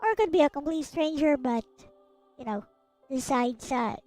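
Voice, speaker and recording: A woman's voice: sits high, lively intonation, normal speed, darkish tone, no room sound, faint music behind. A woman giving casual live commentary as she plays a game, thinking aloud and speculating in short fragments. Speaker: streamer playing a visual novel.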